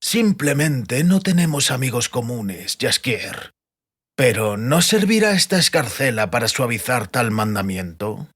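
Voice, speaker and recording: A man voicing an oily, insinuating stranger in an audiobook, speaking slowly with drawn-out, false courtesy. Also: mid-range voice, very animated, fairly bright tone, husky, heavily acted. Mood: smooth, insinuating. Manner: slow, drawn-out, deliberately polite. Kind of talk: audiobook character voice.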